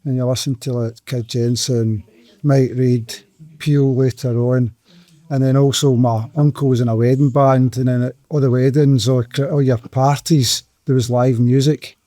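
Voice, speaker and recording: A man's voice: medium pitch, fairly even intonation, normal speed, dark tone, dry recording. A man of sixty, telling easygoing, rambling stories. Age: 60s. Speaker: music fan guest. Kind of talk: casual reminiscing.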